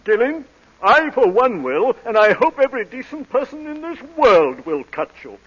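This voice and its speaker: Pompous stammering society gent, high and husky, old radio play, echoey dull recording. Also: male voice, very animated, normal speed, exaggerated voice. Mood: outraged. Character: indignant, flustered English society gentleman, pompous. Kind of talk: 1930s-40s radio drama acting. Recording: muffled old radio broadcast, long echo.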